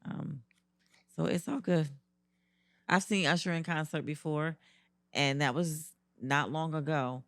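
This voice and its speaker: A woman with a raspy voice co-hosting a podcast in casual chat, playful and quick to laugh while teasing back. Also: low-pitched, steady tone, no echo, studio quality. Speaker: podcast co-host.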